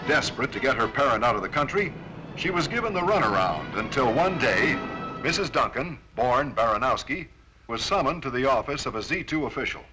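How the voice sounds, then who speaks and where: A man's voice: mid-range voice, very animated, fairly quick, music in the background, low-quality recording. An American man delivering stern, formal, authoritative scripted narration as presenter of a Cold War-era military training film.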